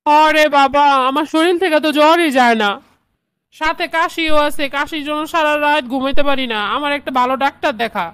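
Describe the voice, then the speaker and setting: A woman's voice: high voice, brisk, fairly bright tone, no room sound. A woman voicing a sickly, fretful old cartoon mother with a plaintive manner and a Bangladeshi accent. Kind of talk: comedy cartoon dialogue.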